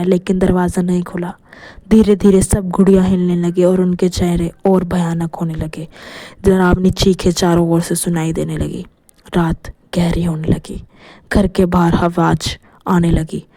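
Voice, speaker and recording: Female storyteller for scripted horror tales, dark, soft-edged tone. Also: fairly low pitch. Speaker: narrator.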